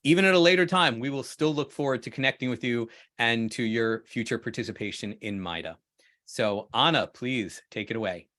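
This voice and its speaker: A man's voice: mid-range voice, fairly quick, a bit bright. A man hosting an online presentation, giving an informal, welcoming introduction before handing over to another presenter. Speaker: host and co-presenter. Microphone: video call.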